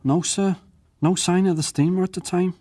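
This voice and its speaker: A man acting as a working ship's crewman giving testimony at an inquiry, plain-spoken, measured and deferential, in a dramatized reading. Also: sits high, steady tone, mellow, no echo, clean studio sound. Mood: deferential, careful. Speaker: witness.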